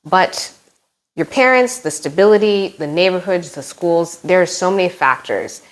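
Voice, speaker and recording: A woman's voice: lowish voice, normal speed, rough recording. A woman in her thirties with an American accent, speaking frankly and with strong opinions in a casual vlog, often adding "like" asides. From United States. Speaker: expat vlogger. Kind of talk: casual talk to camera.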